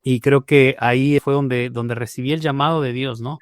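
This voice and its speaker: A man's voice: mid-range voice, fairly even intonation, normal speed, smooth, dry recording, studio quality. A man from Mexico, a pastor telling his conversion story in a podcast interview, speaking with frequent "eh" fillers and restarts. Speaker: pastor, guest.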